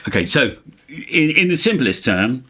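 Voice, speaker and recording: A man's voice: medium pitch, sing-song, measured pace, pushed and bright, husky. A man hosting a casual first-look review, speaking in a blunt, informal way. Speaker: host reviewing software.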